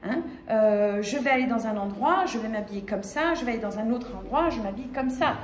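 A woman in her fifties from the Paris region, giving a lively conference talk with many fillers and restarts, gesturing as she speaks. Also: a little high, a little fast. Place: small lecture room with projector screen. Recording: echoey room.

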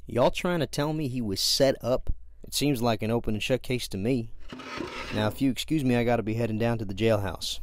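A man playing a gruff, folksy police detective with a Southern US accent in an audio play. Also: mid-range voice, a little fast, dry recording, close to an everyday voice. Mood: gruff, matter-of-fact. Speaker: actor.

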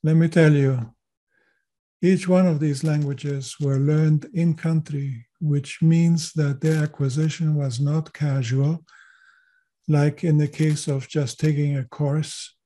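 A man in his seventies speaks with a mellow, slightly raised voice. He is a native German speaker who grew up in Chile. He gives measured answers as an interview guest. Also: fairly high pitch, fairly even intonation. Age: 70s. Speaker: GIS consultant and lecturer, interview guest.

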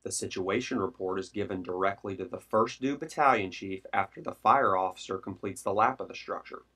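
A man reading scripted instructional narration in a formal, measured way. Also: low voice, pushed and bright, breathy edge. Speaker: training video narrator.

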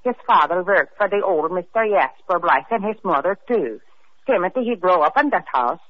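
A woman playing a nervous foreign-born housemaid who speaks halting, broken English in an old radio drama. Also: lowish voice, expressive. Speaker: maid. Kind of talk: 1940s radio acting. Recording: dull old radio recording.

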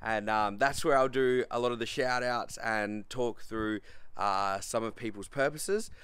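A man's voice: mid-range voice, measured pace, a bit bright, clean tone, no echo. A man in his thirties with an Australian accent, chatting casually as a vlogger in a rambling, self-deprecating way. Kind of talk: casual vlog chat.

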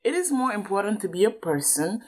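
A woman's voice: medium pitch, big pitch swings, fairly quick. A woman reading aloud to a child as a parent, explaining things and checking understanding with questions.

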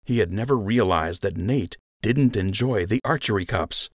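A man's voice: fairly low pitch, normal speed, no room sound, clean studio sound. A man narrating an audiobook story in a scripted storytelling style.

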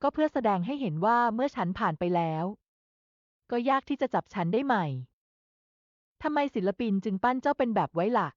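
Casting: female storyteller for scripted narration, slightly high register, muffled dry recording. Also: animated, a little fast, dry recording.

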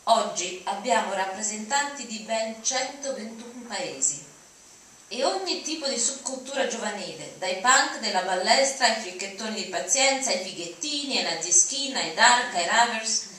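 A woman's voice: on the high side, lively intonation, unhurried, bright, forceful tone. A middle-aged woman giving a dramatic reading of written prose at a lectern. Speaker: dramatic reader. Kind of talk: dramatic reading of essays aloud. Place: auditorium at a lectern. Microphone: lectern mic, recorded from audience. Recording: echoey hall from far off, faint noise.

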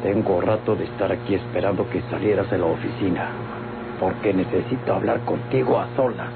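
An actor playing a menacing, scheming Mexican landowner in an old radio drama. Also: male voice, deep voice, brisk, vocal fry. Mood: cold, menacing. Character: menacing scheming landowner villain, outwardly courteous. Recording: tinny vintage radio recording with hum, music.

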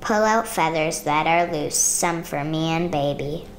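A woman reading a children's picture book aloud in measured, rhythmic rhyme. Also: deep voice. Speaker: storybook reader.

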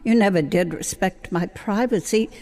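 A woman's voice: medium pitch, normal speed, darkish tone, lightly acted. A woman playing a haughty, scornful socialite villainess in a sci-fi radio comedy, languid and grand. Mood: disdainful, languid.

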